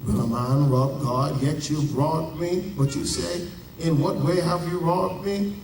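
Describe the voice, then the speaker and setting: High-voiced Bahamian pastor preaching slowly. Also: male voice, steady tone, vocal fry, a little mellow, slight background noise. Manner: preaching with dramatic pauses and drawn-out emphasis. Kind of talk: sermon. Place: large church. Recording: echoey church heard from a distance.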